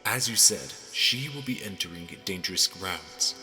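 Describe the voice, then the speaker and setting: A man acts out a stern, guarded fantasy lord for an audio drama. The voice is low and intensely forceful, with formal, admonishing delivery. It rings with long hall reverb. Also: animated, normal speed, soft background music, exaggerated voice. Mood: stern, irritated. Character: stern, cynical, guarded male lord in power.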